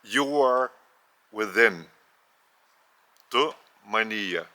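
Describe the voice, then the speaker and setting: A man with a Lithuanian accent, whose first language is Lithuanian, giving a spiritual teaching in a measured way, often repeating and restarting phrases. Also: on the low side, animated, pushed and bright. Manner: measured, with repetitions and careful restarts. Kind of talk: slow spoken teaching.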